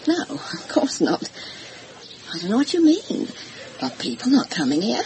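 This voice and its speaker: An actress playing a guarded older housekeeper in a radio drama, defensive yet deferential. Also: female voice, fairly high pitch, very animated, measured pace, a little mellow, slight background noise, rough recording. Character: evasive, guarded older housekeeper with stiff joints.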